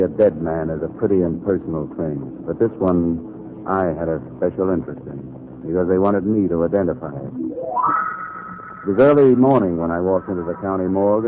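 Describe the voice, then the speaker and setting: A man's voice: low voice, close to an everyday voice. A man playing a hard-boiled, brooding newspaper columnist in a terse, world-weary 1940s noir radio style. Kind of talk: 1940s noir radio acting with first-person narration. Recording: muffled, noisy 1940s radio recording.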